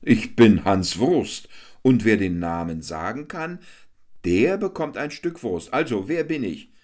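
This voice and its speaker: A man's voice: lively intonation, measured pace, darkish tone. A man voicing a hungry, cheeky male jester who teases and whines in sing-song rhymes. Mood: teasing. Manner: high, whiny, sing-song rhyming.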